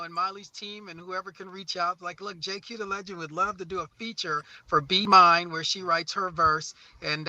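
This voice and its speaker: A man with a high, very bright and sharp voice gives a casual interview as a music artist. He is effusive and halting, often doubling back on his words as he talks. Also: no room sound, dull audio. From St. Croix and New Orleans.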